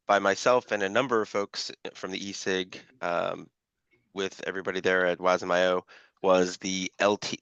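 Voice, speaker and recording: A man chairing an online working-group call, his voice a little scratchy from allergies, talking haltingly with many fillers. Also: on the low side, sharp tone, clear, resonant tone, no echo. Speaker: meeting chair. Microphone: video call. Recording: dull lo-fi call audio.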